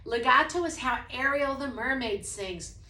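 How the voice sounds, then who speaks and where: A woman's voice: expressive, normal speed, pushed and bright. Chatty music teacher woman, echoey room. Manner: demonstrates by singing snippets, then speaks casually; says she has a low voice. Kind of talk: explaining a preschool music lesson.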